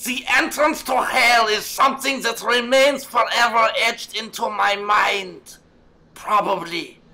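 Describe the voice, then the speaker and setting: A woman's voice: a little high, unhurried, bright, forceful tone. A woman voicing a rotting, pompous undead dictator who schemes and blusters in an audio comedy drama. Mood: blustering, flustered. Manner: pompous, blustering, stammering when worked up.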